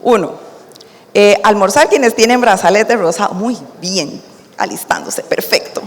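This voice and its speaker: A woman hosting a conference, making brisk announcements and introducing the next speaker, with a habit of saying "este" as a filler. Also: medium pitch. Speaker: event host. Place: conference hall. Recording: long hall reverb from a conference room.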